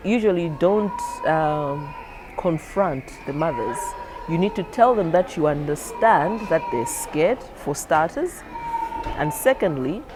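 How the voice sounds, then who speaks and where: A woman's voice: fairly low pitch, noisy background. A woman clinician speaking conversationally as she explains things for a training film.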